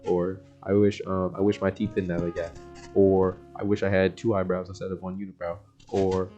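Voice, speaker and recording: A man's voice: low voice, level tone, normal speed, mellow, dull audio, soft background music. A young American man giving a casual self-help monologue in a laid-back, slangy, rambling way. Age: young adult. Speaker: vlogger.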